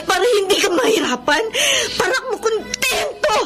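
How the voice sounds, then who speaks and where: High-voiced betrayed wife, melodramatic. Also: female voice, expressive, moderate pace. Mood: hurt, pleading. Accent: Filipino. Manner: melodramatic, tearful, accusing delivery. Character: betrayed wife confronting her cheating husband, wounded and furious. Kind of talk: Filipino radio drama acting. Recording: dramatic music bed behind the voice.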